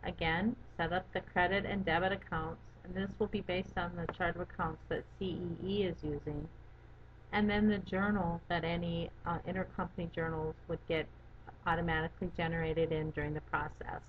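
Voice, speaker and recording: Woman narrating a software tutorial, dull sound. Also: lowish voice, fairly even intonation, a little fast. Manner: methodical, instructional. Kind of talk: step-by-step software walkthrough narration. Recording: dull lo-fi audio with background noise.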